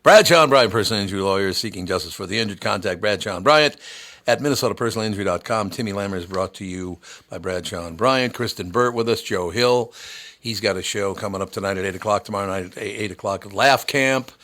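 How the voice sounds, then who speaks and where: A man's voice: fairly low pitch, fast, fairly bright tone. A man hosting a radio talk show who teases his guests and jokes around. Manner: jokey, teasing. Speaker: radio host.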